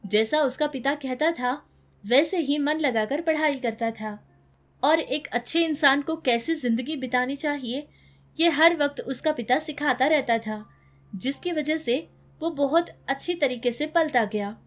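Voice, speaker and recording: A woman's voice: sits high, a little slow. A woman storyteller reading scripted narration for a children's moral story.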